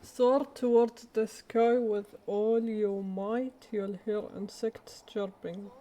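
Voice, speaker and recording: A woman's voice: mid-range voice, flat delivery, slow, mellow. A woman livestreaming a story game, reacting casually and repeating words back thoughtfully. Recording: noisy home stream microphone.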